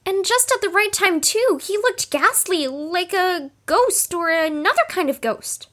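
A hyper, childlike sporty kid for an audio drama. The voice is extremely high and bouncy, chirping along eagerly with big swings in tone. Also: female voice, unhurried, heavily acted. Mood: chatty. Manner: excitable, chirpy.

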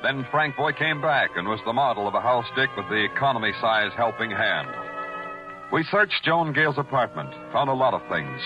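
Actor as a world-weary detective, music behind. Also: male voice, medium pitch, expressive, moderate pace, natural voice. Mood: brooding. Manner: dry, terse, moody. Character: world-weary hardboiled male police detective narrator. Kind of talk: 1940s radio noir narration and acting. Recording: muffled vintage radio recording.

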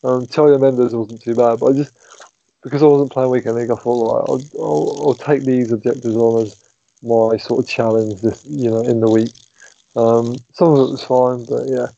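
A man's voice: mid-range voice, fairly even intonation, moderate pace, creaky, dark tone. Hesitant man on a lo-fi headset. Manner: hesitant, many ums, trails off. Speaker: podcast co-host. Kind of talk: casual podcast chat. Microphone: old phone headset. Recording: dull lo-fi phone headset.

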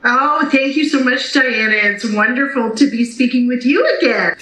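A woman's voice: a little high, moderate pace, fairly bright tone, reverberant, poor audio. A woman giving conversational interview answers as a guest over a video call. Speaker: theatre company executive director, interview guest.

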